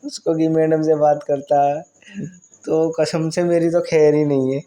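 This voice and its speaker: A man's voice: on the high side, level tone, a little slow, warm tone, natural voice. A man playing a flirtatious married man in a casual staged phone chat, teasing with sly double meanings. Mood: confiding.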